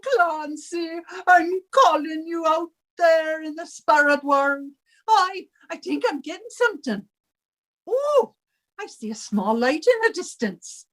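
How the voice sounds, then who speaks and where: A woman's voice: sits high, a bit bright, heavily acted. A woman playing a theatrical, bossy older Irish widow and landlady in a radio comedy, given to wailing. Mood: wailing, pleading. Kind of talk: 1950s radio comedy acting.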